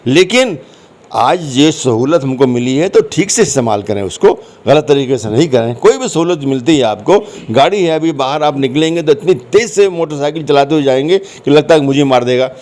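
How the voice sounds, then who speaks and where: A man's voice: a little high, lively intonation, brisk, darkish tone. A man answering interview questions conversationally, explaining his points and repeating phrases for emphasis. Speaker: interview guest, commentator.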